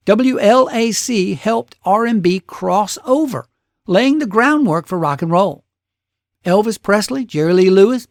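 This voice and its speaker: A man's voice: high voice, no room sound, studio quality. A man hosting a radio show, narrating history from a script with casual asides in a folksy, conversational storytelling way.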